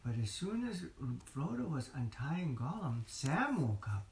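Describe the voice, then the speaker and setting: Low-voiced woman telling a slow bedtime story. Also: big pitch swings, a little mellow. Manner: simple, repetitive phrasing for young listeners. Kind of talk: improvised bedtime storytelling to children. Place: indoors in a room. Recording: echoey room, faint noise, low volume.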